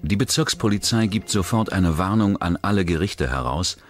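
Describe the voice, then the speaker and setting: Deep-voiced man narrating true crime. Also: animated, moderate pace. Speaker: documentary narrator. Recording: music bed behind the voice. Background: background music.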